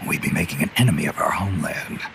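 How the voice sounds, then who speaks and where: Gruff, gravelly, low-voiced mercenary legend. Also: male voice, quick pace, very noisy, exaggerated voice. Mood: suspicious, gruff. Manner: terse, guarded, world-weary. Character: gruff, world-weary legendary mercenary commander. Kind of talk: video game cutscene voice acting.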